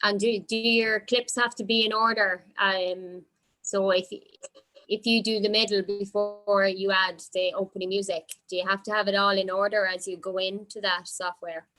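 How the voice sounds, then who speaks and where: Bright-voiced woman asking questions. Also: mid-range voice, level tone, moderate pace, poor audio. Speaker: workshop participant. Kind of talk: informal questioning.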